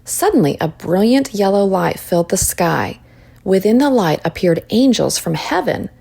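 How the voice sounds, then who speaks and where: A woman reading a children's story aloud in expressive narration. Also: medium pitch, normal speed, vocal fry, husky.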